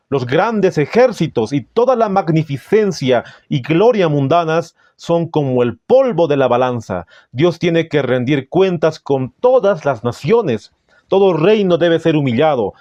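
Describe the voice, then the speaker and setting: A man with a Bolivian Spanish accent preaching in a solemn, didactic way, reading out passages with deliberate phrasing. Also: medium pitch, darkish tone, no room sound, dull audio. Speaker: Adventist preacher. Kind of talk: sermon with scripture and book readings.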